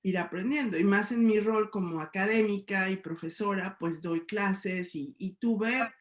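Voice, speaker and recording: A woman's voice: mid-range voice, measured pace. Mexican woman professor, echoey room. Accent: Mexican Spanish. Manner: thoughtful, many hedges and tag questions. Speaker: sociology professor, guest. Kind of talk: reflective debate talk.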